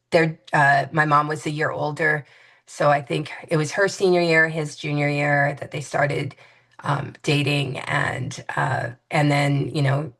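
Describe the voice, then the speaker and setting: A woman in her fifties from Hawaii speaks as an interview guest with a low voice. She talks in a reflective, halting way, often pausing on fillers and restarting her sentences. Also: fairly bright tone. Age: 50s. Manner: hesitant, many fillers and restarts.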